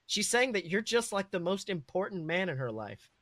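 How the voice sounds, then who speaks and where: A man reads the part of a kindly, composed woman in a story. The manner is affectionate and reassuring. The voice is bright and close, with very little room. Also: high voice, big pitch swings, normal speed, no room sound, voice pushed up. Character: poised, kindly, reassuring woman, superhero's fiancée.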